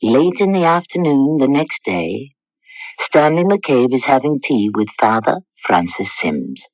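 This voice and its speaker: A man hosting and narrating a radio drama in a formal, deliberate, scripted style. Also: mid-range voice, very animated, no echo. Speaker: host and narrator. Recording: muffled old radio broadcast.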